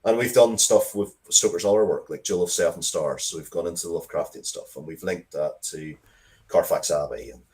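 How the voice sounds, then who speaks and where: A man with a very low voice talks casually as a guest writer in an interview. He rambles in a conversational, blunt way, spinning out explanations of his own creative work. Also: a little fast, darkish tone. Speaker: interviewed writer of comics and stories.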